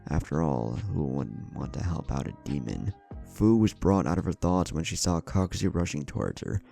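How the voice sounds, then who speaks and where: A man with an extremely low, creaky voice narrates a scripted fantasy battle story. His tone is dark and mellow, with music playing underneath. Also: animated, moderate pace. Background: background music.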